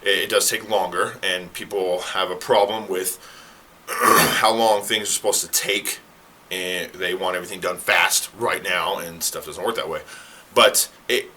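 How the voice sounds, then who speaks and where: A man who is a fitness coach, talking casually to the camera in a rambling, self-correcting way. He sounds slightly hoarse. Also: low voice, normal speed, sharp tone, slight background noise. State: slightly hoarse, clearing throat. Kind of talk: casual explainer talk to camera.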